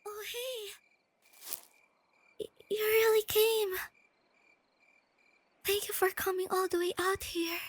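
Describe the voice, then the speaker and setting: Shy young girlfriend, extremely high girlish voice, slow, bashful stammer, soft-spoken ASMR roleplay. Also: steady tone. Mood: nervous, sweet. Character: shy, nerdy, easily flustered young girlfriend on a night date. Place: close dry recording with night insects behind.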